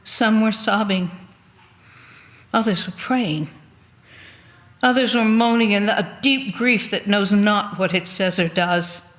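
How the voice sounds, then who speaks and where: A woman with a mid-pitched voice reads a scripted devotional narrative. The delivery is solemn storytelling, suited to a church service. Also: slow pace, pauses often, a bit bright. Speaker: reader at a church Good Friday service.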